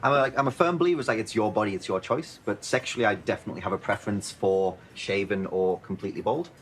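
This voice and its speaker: A man's voice: lowish voice, fast talker. A man being interviewed, chatting casually and giving his opinion, with some ambient noise behind him. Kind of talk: casual chat.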